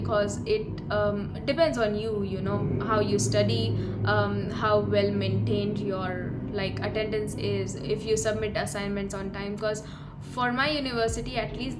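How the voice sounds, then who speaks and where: A young woman, a university student, explaining in a conversational, advice-giving way for her vlog. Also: mid-range voice. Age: young adult. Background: loud background music.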